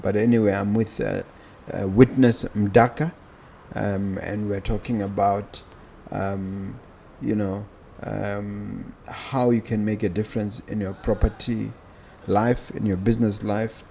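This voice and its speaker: A man with a Botswana accent hosting a casual podcast, speaking haltingly with pauses between phrases. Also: fairly low pitch, steady tone, creaky, dark tone, slight background noise. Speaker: podcast host.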